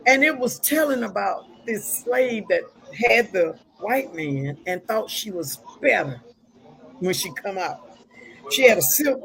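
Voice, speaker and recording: A woman hosting her own talk show, talking in a folksy, preachy way. She strings together stories and scripture in loose casual chat. Her voice sits at an ordinary middle pitch. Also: low-quality recording.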